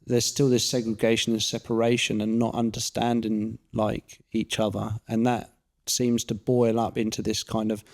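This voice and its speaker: A man's voice: fairly low pitch, level tone, normal speed, gravelly, a little mellow. A man hosting a casual interview who talks in a rambling, chatty way. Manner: rambling, chatty, many fillers and restarts. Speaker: podcast host.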